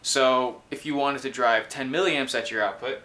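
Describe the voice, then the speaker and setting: Male host, twenties, bright tone, mid pitch, informal technical explainer. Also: normal speed. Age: 20s. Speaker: host explaining his electronics project. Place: small room indoors.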